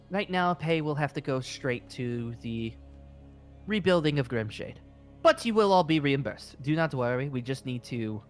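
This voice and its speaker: Guild master mage played by a man: higher-pitched, cheerful, rambling and courteous, hesitant delivery, game roleplay. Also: natural voice. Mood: earnest, businesslike. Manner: hesitant, halting, polite. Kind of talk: improvised Minecraft roleplay acting.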